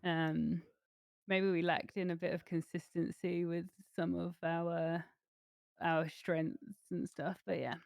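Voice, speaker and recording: A woman team captain in a casual post-match interview, a bit hoarse from losing her voice, upbeat and quick to laugh. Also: fairly low pitch, flat delivery, a little mellow, no echo, clean studio sound. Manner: laughs easily, upbeat, rambling.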